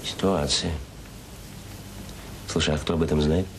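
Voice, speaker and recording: An actor playing a rueful middle-aged factory manager in a radio drama, talking in a colloquial, hesitant, confiding way. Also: male voice, a bass voice, fairly even intonation, a little slow, vocal fry, heavily acted. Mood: puzzled, serious. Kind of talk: radio drama acting, intimate conversation. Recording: hissy old audio play recording.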